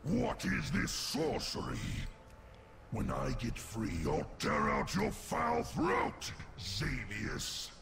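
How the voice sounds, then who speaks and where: Gravelly, menacing dark fantasy lord. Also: male voice, medium pitch, huge pitch swings, slow pace, music in the background, exaggerated voice. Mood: defiant. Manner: theatrical, menacing declamation. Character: villainous demon lord of nightmares and a defiant bear spirit, menacing. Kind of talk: fantasy game voice acting. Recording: noisy game audio with effects behind.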